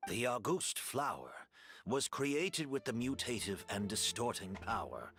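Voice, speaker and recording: A man playing a weary, resolute game character, speaking gravely and deliberately. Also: mid-range voice, sing-song, fairly bright tone. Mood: resigned, revealing. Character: weary, resolute man confessing long-kept secrets. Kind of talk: video game voice acting.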